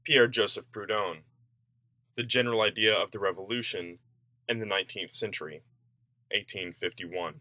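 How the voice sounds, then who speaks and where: Guy reading an essay out loud, formal and declarative, crisp bright voice, super dry sound no room. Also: lowish voice, moderate pace, long pauses, no room sound. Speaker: reader of an essay. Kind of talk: scripted narration, reading a political essay aloud.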